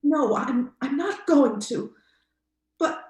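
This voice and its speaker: A woman's voice: high-pitched, expressive, slow, a little mellow, roomy sound. A woman playing an anxious, indignant and bluntly questioning matronly sister in an old-time radio drama. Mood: shaken, horrified.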